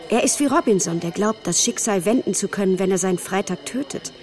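An actress playing a thoughtful woman who comments analytically in a measured radio drama monologue. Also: mid-range voice, a little mellow, faint music behind, close to an everyday voice. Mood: reflective.

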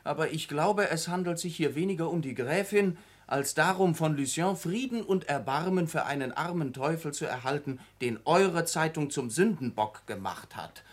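A worldly male journalist in a German radio drama. His voice sits on the high side, and he speaks with urbane, mocking eloquence. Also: expressive, moderate pace, hardly any pauses. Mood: persuasive. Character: suave, mocking male journalist, worldly and cynical man-about-town.

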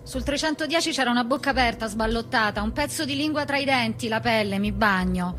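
High voiced woman, played by an actress, lonely and ashamed, clipped intense theatre monologue, music under it. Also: fairly quick, a bit bright, natural voice. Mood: restless, subdued. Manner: clipped fragmentary phrases, raw and intense. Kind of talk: theatrical monologue, stream of consciousness. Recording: music behind the voice.

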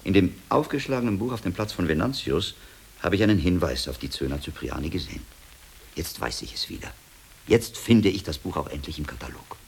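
An actor playing a shrewd, learned older monk and investigator in a German radio drama, speaking deliberately and probingly with a gravelly voice. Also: male voice, deep voice, lively intonation, slight background noise. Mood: thoughtful, excited.

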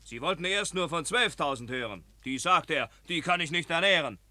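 A chatty soldier who retells camp stories in rhyming verse. His high, bright voice swoops up and down in pitch. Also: lively intonation, normal speed, no echo. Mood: lively. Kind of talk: classic verse radio play.

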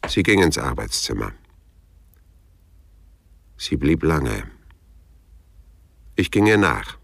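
A man's voice: a bass voice, sing-song, slow pace, gravelly, fairly bright tone, slightly rough, heavily acted. An actor playing a laconic, probing private detective who narrates his case tersely and matter-of-factly in a German radio crime drama. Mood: detached narrating. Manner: dry, matter-of-fact, terse.

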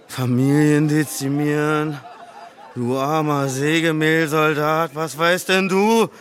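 A man's voice: high-pitched, pushed and bright. A young man acting in a German radio drama as a cocky, crude, drunken teenage farmhand who talks with a mocking, loose tongue. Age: young adult.

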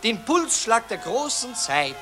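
A man's voice: extremely high pitch, big pitch swings, unhurried, bright, forceful tone, faint music behind, a faint crowd, heavily acted. An actor playing a pompous male newspaper writer who declaims in a grand, swelling manner. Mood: grandiloquent. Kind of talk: 1960s radio drama acting.

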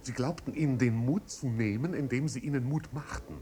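An actor playing a fussy, loyal manservant in a 1950s radio play, speaking in a stilted, elaborately formal and deferential way. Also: male voice, mid-range voice, a little fast, dark tone, close to an everyday voice. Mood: grave, formal.

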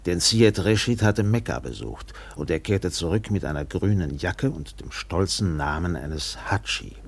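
Deep, gravelly sea captain. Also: male voice, noisy background. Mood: measured, reflective. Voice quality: gravelly, husky. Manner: expressive, measured literary storytelling with acted dialogue. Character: weathered steamship captain recounting a colonial tale. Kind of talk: German radio play narration and dialogue.

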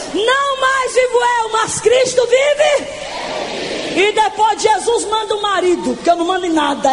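A woman in her twenties preaching her testimony in a fervent, shouting evangelical sermon, stretching words for emphasis. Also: extremely high pitch. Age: 20s.

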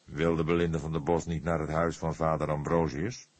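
A man playing a weary, probing old police detective with measured questioning in an audiobook. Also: extremely low pitch, level tone, dry recording. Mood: inquiring.

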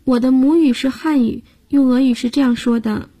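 A woman's voice: high-pitched, fairly even intonation, normal speed, warm tone, breathy edge. A woman hosting a radio language lesson, patiently explaining grammar and guiding listeners step by step. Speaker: language teacher and host.

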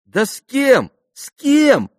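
A man voicing an imperious, excitable teenage girl with a thin little voice and demanding exclamations, in an audiobook novel. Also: high voice, a little slow, no echo, clean studio sound, voice pushed up. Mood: indignant, alarmed. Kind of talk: audiobook classic novel dialogue.

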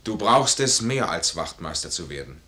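Casting: honorable, stubborn major, bright forceful tone, lowish pitch, formal stage delivery, vintage radio drama. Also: male voice, very animated, moderate pace, heavily acted. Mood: stern, proud. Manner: formal, emphatic stage diction. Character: proud, honorable, troubled army major refusing charity. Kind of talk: 1950s German radio play acting.

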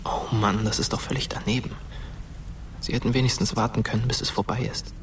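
A man's voice: on the low side, level tone, a little fast, slight background noise, close to an everyday voice. An actor playing a dead teenage boy in a radio drama, blunt and wry, with a needling colloquial manner. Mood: irritated. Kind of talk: German radio drama acting. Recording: music under the voice. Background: prominent music.

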